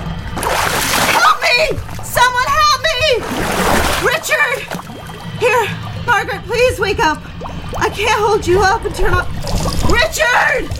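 Woman acting high-voiced, frantic young secretary. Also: expressive, takes it slowly, pauses often, over loud music, full character voice. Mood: panicked, pleading. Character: young secretary Andrea Davies, frantic, devoted, pleading. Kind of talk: audio drama acting.

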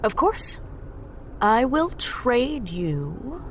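A woman's voice: fairly high pitch, sing-song, extremely slow, a little hiss or hum, exaggerated voice. A woman playing a blunt, plain-spoken young activist leader in a naturalistic audio drama. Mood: tender, weary.

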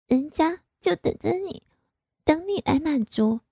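A coy, pouting young married woman wheedles in a high, lifted voice. A woman plays her in a slow storybook reading, with a dry, close sound. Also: gravelly, mellow, dry recording. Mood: wheedling.

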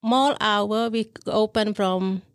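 A woman from Thailand, answering questions in simple, halting English. Her voice is mid-pitched and recorded dry and close, with little room sound. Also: no echo. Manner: halting, non-native English phrasing. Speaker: restaurant owner, guest. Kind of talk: interview answers. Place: podcast studio inside a shopping mall.

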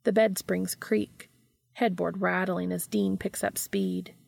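A woman's voice: medium pitch, steady tone, slow, vocal fry, a little mellow, no echo. A woman reading scripted fiction as an audiobook narrator.

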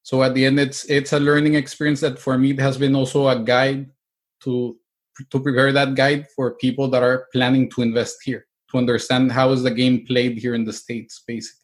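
Steady, even-toned man from El Salvador. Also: medium pitch, a little fast. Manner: hesitant, many restarts and fillers, explanatory. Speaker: real estate agent and investor. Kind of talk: interview answers.

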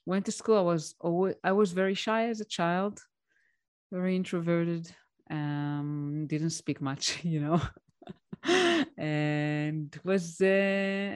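A woman's voice: lowish voice, darkish tone, no echo, studio quality. A woman, answering interview questions in a plain, reflective way over a remote call. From Israel. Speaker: guest, tech mentor.

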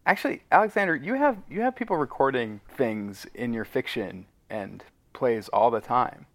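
A man's voice: mid-range voice, expressive, moderate pace, no echo. A man chatting casually as a podcast guest, with a wry, joking manner. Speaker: podcast guest, audio drama writer. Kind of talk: casual chat.

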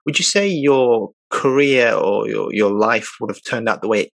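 A man conducts an interview in a conversational style. His voice is fairly low, and the sound is dry, without any room echo. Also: normal speed, creaky, a bit bright.

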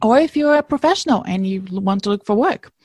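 A woman's voice: a little high, lively intonation, fast, darkish tone, no echo, clean studio sound. A middle-aged woman hosting a career podcast live at an event, introducing her guest with upbeat enthusiasm. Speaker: podcast host, career coach.

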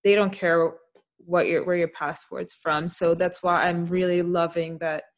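Reflective woman with a lowish voice. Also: level tone, lo-fi sound. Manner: reflective, thoughtful, quick to laugh. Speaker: podcast host. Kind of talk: casual conversational interview.

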